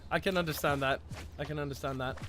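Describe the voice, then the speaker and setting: A man's voice: a little high, a bit bright. A young man from Ireland with a mild Irish accent, streaming a card game and chatting with viewers. He rambles, restarts often and pokes fun at himself. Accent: Irish, Dublin area, mild. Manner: rambling, many restarts, chatty and self-mocking. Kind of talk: casual stream chat while playing a card game. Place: small room indoors. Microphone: headset. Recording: game music behind voice.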